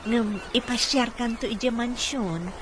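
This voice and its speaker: An actress playing a dutiful, encouraging caregiver in an Ilocano-accented radio drama. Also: female voice, on the high side, a little slow, background noise, lightly acted. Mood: friendly. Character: dutiful, encouraging young woman caregiver to a wheelchair-bound boss. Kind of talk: Filipino radio comedy-drama acting.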